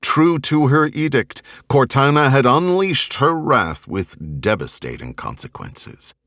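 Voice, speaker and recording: A man reading a novel as an audiobook narrator, in a grave, measured, dramatic style with deliberate phrasing. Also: low-pitched, fairly bright tone, dry recording, clean studio sound. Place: dry recording studio.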